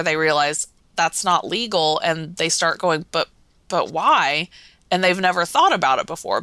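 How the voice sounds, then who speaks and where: A woman's voice: low-pitched, bright, forceful tone, dry recording. An American woman talking casually as a podcast guest, explaining things in a conversational way. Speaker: podcast guest, whiskey magazine editor.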